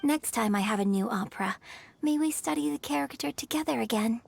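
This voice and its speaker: An actress playing a graceful young opera singer in a game, speaking with refined, gentle confidence. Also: female voice, on the high side, a little fast, creaky, soft background music. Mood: reflective. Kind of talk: game voice acting.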